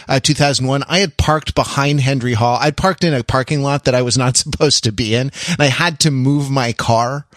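A man's voice: mid-range voice, fairly bright tone, no echo, clean studio sound. A middle-aged American man chatting analytically on a podcast, digressing and often searching for his words. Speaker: podcast panelist. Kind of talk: casual analytical chat.

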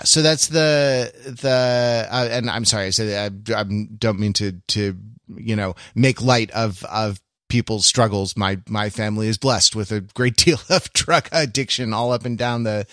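A man in a casual, analytical podcast discussion, speaking haltingly as he thinks aloud and qualifies himself. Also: mid-range voice, fairly bright tone, no echo, clean studio sound. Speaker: podcast panelist.

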